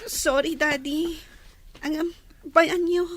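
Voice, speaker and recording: Very high-pitched apologetic young daughter. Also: female voice, fairly even intonation, slow pace, a bit bright, a little hiss or hum. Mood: apologetic, affectionate. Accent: Filipino. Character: apologetic, affectionate young daughter making amends. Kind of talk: radio drama acting.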